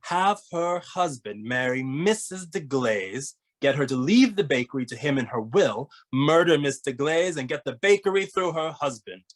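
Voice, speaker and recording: A man playing a young amateur detective in a cartoon mystery table read, explaining crimes in a deliberate, theatrical way. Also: fairly high pitch, animated, natural voice. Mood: dramatic, deductive. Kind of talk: animated comedy table read, dramatic deduction monologue.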